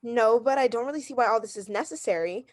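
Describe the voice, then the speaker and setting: High-voiced, frightened neurotic invalid wife played by an actress. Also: female voice, quick pace, close to an everyday voice. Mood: demanding, nervy. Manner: insistent, nervous, overwrought. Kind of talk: 1940s radio drama acting.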